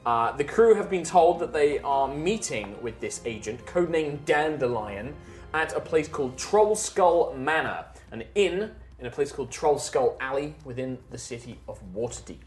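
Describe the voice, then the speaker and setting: Chatty game master in an echoey room. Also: male voice, medium pitch, lively intonation, normal speed, soft background music. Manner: chatty, rambling with many fillers. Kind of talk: narrating a tabletop game recap.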